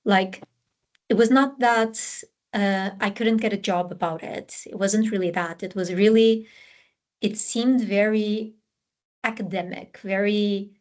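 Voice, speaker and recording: A woman from Portugal with a Portuguese accent, a scientist guest explaining her work and career in a relaxed interview, with frequent fillers and restarts. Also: mid-range voice, measured pace. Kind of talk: conversational interview answers.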